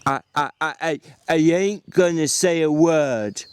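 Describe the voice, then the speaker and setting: Man putting on a high, childlike, eager big simpleton. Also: clear, resonant tone, no room sound, close to an everyday voice. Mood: earnest. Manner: halting, stammering, repeating words. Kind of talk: dramatic storybook reading.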